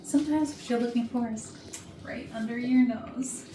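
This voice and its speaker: A woman's voice: fairly high pitch, fairly even intonation, normal speed, dark tone, natural voice. A woman acting a flirty, catty, self-satisfied HR manager in an arch, sarcastic way at a comedy staged reading. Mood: flirty, coaxing. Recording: noisy roomy rehearsal, far from mic.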